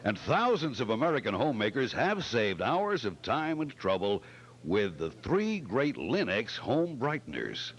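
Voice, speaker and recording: A man's voice: mid-range voice, huge pitch swings, unhurried. A man announcing in scripted old-time radio style, dramatic and emphatic, like a sponsor's salesman.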